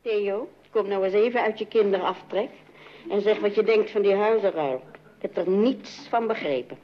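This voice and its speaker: A woman's voice: mid-range voice, normal speed, close to an everyday voice. Woman acting a dry mother, tinny sound. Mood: practical. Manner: dry, practical. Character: dry, sensible, sceptical mother of the household. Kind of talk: old-fashioned radio comedy acting. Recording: very thin, phone-like old radio sound.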